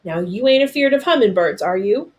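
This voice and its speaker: A woman's voice: medium pitch, lively intonation, brisk, lightly acted. An elderly Southern woman from a storybook, acted out by a woman reader. She talks in folksy country dialect, motherly and protective. Her manner is tender but firm. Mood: soothing.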